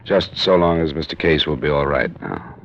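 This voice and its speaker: A man's voice: rumbling low, sing-song, moderate pace, vocal fry, a little hiss or hum, heavily acted. A man playing a hard-boiled male insurance investigator, narrating in a dry, clipped first-person way in a 1950s radio drama. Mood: calm, businesslike. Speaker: narrator and lead character. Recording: dull old radio broadcast.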